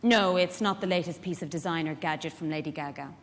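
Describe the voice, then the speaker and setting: A woman's voice: low-pitched, fairly quick, a little hiss or hum, natural voice. A woman with an Irish accent performing a live dramatic monologue as a feisty, protective care worker, in a conversational, wry manner. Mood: explaining, tender. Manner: conversational, wry, rhetorical questions to the audience. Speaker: actor in a dramatic reading. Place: large indoor event hall. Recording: echoey hall, live stage reading.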